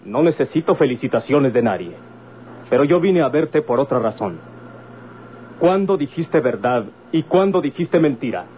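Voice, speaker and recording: An actor playing a stern, calculating gentleman with a Mexican accent, deliberate and insistent, in an old radio drama. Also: mid-range voice, animated, natural voice. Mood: stern, pressing. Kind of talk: golden-age Mexican radio drama acting. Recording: tinny, noisy old radio recording.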